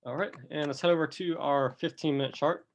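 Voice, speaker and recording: A man who is a bit under the weather, with a cough, walking through price charts in a relaxed, step-by-step commentary. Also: on the high side, brisk, slight background noise. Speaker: crypto chart analyst. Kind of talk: screen-recorded chart walkthrough.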